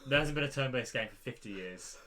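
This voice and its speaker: Lively young man, teasing and joking. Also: mid-range voice, fast, crowd noise. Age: young adult. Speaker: podcast co-host. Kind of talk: casual banter.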